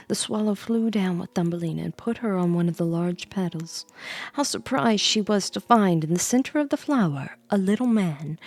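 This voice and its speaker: A woman streamer reading a bedtime story in a soft ASMR style, close to the microphone, with playful, rambling asides to her viewers. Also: mid-range voice, creaky, no room sound.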